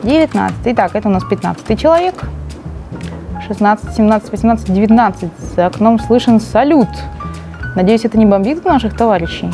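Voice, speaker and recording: A woman's voice: medium pitch, animated, a little fast, music in the background. A young woman co-hosting a live stream, chatting playfully and reading out giveaway winners. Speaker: stream co-host. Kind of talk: casual live stream chat.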